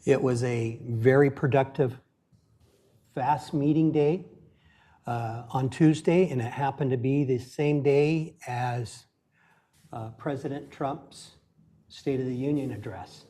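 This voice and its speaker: Guy running a council meeting, husky and kinda mellow, lots of uhs. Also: mid-range voice, slow pace. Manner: plain-spoken, many uh fillers. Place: council chamber.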